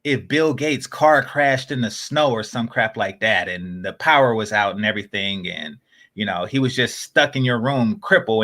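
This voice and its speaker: Rambling man in casual podcast chat. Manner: rambling, many fillers and restarts; drops into low, quiet asides.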